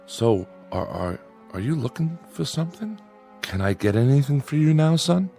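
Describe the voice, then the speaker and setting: Nervous, folksy American farmer dad. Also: male voice, medium pitch, big pitch swings, unhurried, gravelly, dark tone, soft background music. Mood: forced cheer. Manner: folksy, hesitant, overly agreeable. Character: nervous folksy farmer father placating his son. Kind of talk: radio drama acting.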